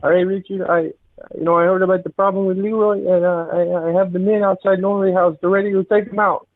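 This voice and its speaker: A man's voice: high voice, flat delivery, quick pace, no room sound, close to an everyday voice. A man playing an earnest, hesitant but urgent henchman reporting to his boss in an improvised comic skit over a phone line. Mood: earnest, conspiratorial. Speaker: caller.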